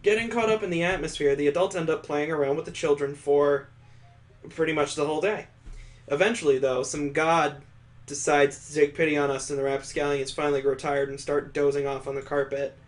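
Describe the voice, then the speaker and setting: A man's voice: fairly high pitch, steady tone, a little fast. A man hosting a gaming video, reading aloud and adding sarcastic, irreverent commentary in a casual way. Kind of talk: casual let's-play reading with joking commentary.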